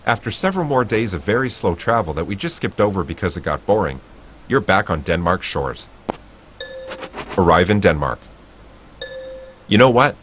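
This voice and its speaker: A man's voice: sits low, sing-song, moderate pace, long pauses, background noise. A man reading a text adventure game aloud with playful asides.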